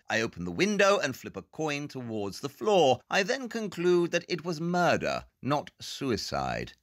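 Brisk, superior private eye for an audio play, male actor, lecturing in a lively mid-pitched voice. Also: dry recording, clean studio sound. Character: brisk, aloof, brilliant male private detective.

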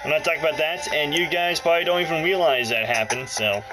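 A man's voice: fairly high pitch, quick pace, poor audio. A man giving casual let's play commentary, talking to viewers in a playful, mildly grumbling way. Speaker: gaming video creator. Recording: game music playing from handheld console. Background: loud game music and audio.

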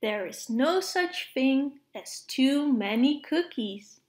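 A woman narrates a storybook for preschoolers in a high-pitched voice. Her delivery is very slow and measured, with lively, expressive swings in pitch. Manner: expressive, measured phrasing with playful sound words. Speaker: read-aloud narrator of children's picture books. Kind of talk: storybook read-aloud for preschoolers.

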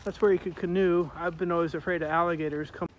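A man's voice: fairly high pitch, steady tone, fast talker, phone-quality sound. A man casually narrating a walk through a sunny park, pointing things out with lots of fillers, filmed on a handheld phone outdoors. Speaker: vlogger filming a park walk. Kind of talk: casual walking tour narration. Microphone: handheld phone camera. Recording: outdoor phone audio with loud background noise.

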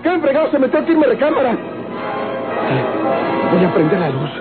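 A high-pitched, jittery Mexican rancher. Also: male voice, sing-song, brisk. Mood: startled, frightened. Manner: stammering, agitated, muttering to himself. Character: restless, frightened rancher tormented by sleeplessness and nightmares. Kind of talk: old radio drama acting. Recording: tinny old radio recording, loud music.

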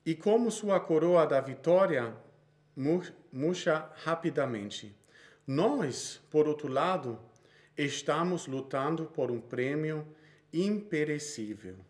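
A man's voice: on the high side, expressive, slow pace, darkish tone. A man in his thirties with a Brazilian Portuguese accent, preaching a sermon he reads from notes, with frequent small restarts.

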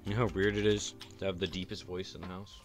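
A young man with a very deep voice, chatting casually and rambling while streaming a game. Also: fast talker. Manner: relaxed, rambling, laid-back. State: sore chest and ankle. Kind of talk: casual gaming chat. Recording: streamed voice chat, faint music behind.

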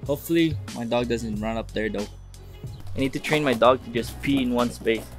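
A man's voice: mid-range voice, a little fast, soft background music. A young man in his twenties giving a casual, laid-back vlog tour of an empty basement suite, filmed on a handheld camera at arm's length. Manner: laid-back, chatty, talks to the viewers. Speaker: vlogger.

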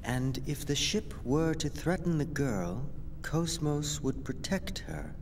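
A man playing a composed, enigmatic young mastermind in a video game, speaking in a measured, formal, aloof way. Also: on the high side, noisy background. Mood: coolly composed. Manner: measured, formal, smooth-mannered. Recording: loud music behind the voice.

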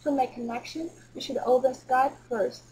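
High, soft-toned woman narrating a tutorial. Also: fairly even intonation, normal speed, husky, rough recording. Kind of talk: scripted software tutorial narration.

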